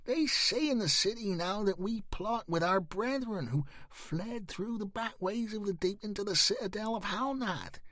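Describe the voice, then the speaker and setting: A man's voice: high-pitched, normal speed, hardly any pauses, pushed and bright, dry recording, pitched above the performer's own voice. A man voicing a small, stooping, vicious male gnome with a piping voice and a bitter, aggrieved way of talking. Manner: bitter, aggrieved, hissing contempt. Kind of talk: audiobook character voice.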